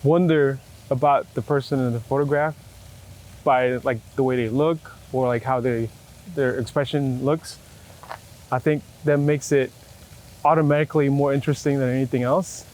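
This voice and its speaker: A man's voice: medium pitch, steady tone, darkish tone, rough recording. A middle-aged man giving casual, reflective interview answers, often rewording his thoughts as he goes. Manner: halting, filler-heavy phrasing with frequent rewording. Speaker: photographer being interviewed. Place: outdoors in a city. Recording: outdoor city traffic noise. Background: urban ambience, vehicles.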